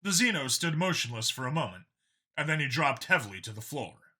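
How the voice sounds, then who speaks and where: A male audiobook narrator with a very bright, forceful voice. His pitch moves in a lively way as he reads scripted science fiction narration. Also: a little fast, husky.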